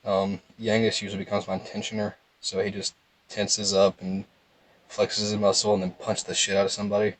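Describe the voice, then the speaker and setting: A young man with a calm, low voice, giving casual, rambling game commentary in a laid-back monotone. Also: brisk, dry recording, low-quality recording. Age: young adult. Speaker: gaming video host.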